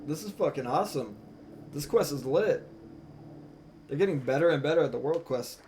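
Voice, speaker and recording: A man doing live gameplay commentary as a streamer, excitable and given to swearing. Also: on the high side, normal speed, slight background noise. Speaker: game streamer. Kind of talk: live gameplay commentary, reading dialogue aloud. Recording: music behind the voice.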